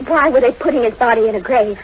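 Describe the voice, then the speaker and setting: Woman acting an anxious high-voiced young heroine. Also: fast talker, natural voice. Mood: anxious, appalled. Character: anxious, horrified young woman in a horror tale. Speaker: radio actor. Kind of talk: 1940s radio horror acting. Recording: muffled, faintly noisy old radio recording.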